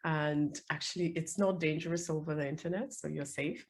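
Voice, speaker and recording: Hoarse lady hosting a live video call, lowish voice, chatty, lots of ums. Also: steady tone, a little fast. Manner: chatty, friendly, many fillers. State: hoarse, sick with lost voice. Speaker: host. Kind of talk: live online interview. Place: home, calling in.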